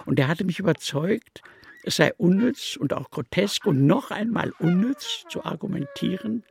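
A very gravelly, high-pitched male voice for a radio drama monologue. He is brooding and bitter, swinging widely in pitch and stressing words with slow, dramatic care. There is faint noise behind him. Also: heavily acted. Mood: grim, insistent. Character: brooding man recounting a betrayed friend's suicide, bitter and baffled. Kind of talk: literary radio play monologue.

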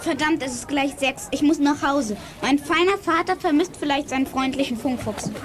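A woman's voice: sits high, moderate pace, natural voice. A woman playing a plucky, wry child detective in a German children's audio drama. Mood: wry, reflective.

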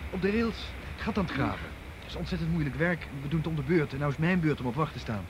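A man acting an eager, edgy young activist in a Dutch radio drama, excitable and repeating himself. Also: fairly high pitch, fast talker, natural voice. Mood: alert, busy. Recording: radio play with loud background noise.

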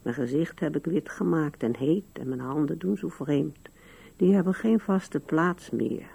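An older woman acting in a radio drama as a musing, wistful old widow with a gravelly, husky voice. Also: deep voice, a little slow, warm tone, heavily acted. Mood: tender, sorrowful.

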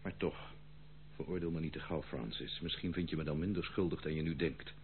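A man's voice: extremely low pitch, steady tone, a little fast. An actor in a radio play playing a disowned prodigal son, formal, insistent and theatrical, pleading his case with indignation. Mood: guarded, reproachful. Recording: tinny, phone-like old radio play, slight hum.

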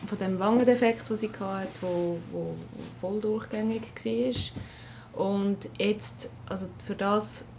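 A woman explaining in a casual interview, haltingly searching for words. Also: medium pitch, steady tone, vocal fry, darkish tone. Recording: noisy field recording with ambience.

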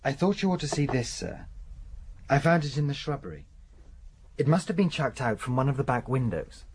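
A man's voice: medium pitch, very animated, moderate pace, a little mellow, a little hiss or hum. An actor playing a dutiful, plain-spoken police sergeant in a radio detective drama, reporting respectfully to his superior.

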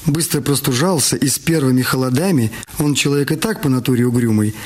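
A man's voice: mid-range voice, fast. A man reading scripted, dramatised narration for a detective audio drama. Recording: music behind the voice. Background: music.

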